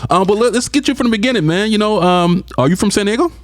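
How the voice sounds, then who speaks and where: A man's voice: high voice, quick pace, no echo. A man hosting a casual interview, reacting with eager exclamations. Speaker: interview host.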